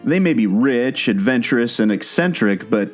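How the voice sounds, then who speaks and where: A man's voice: medium pitch, lively intonation, moderate pace, soft background music, lightly acted. A man playing a knowing, patient guide who tells park legends in a scripted audio drama. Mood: knowing, mysterious. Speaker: storytelling guide.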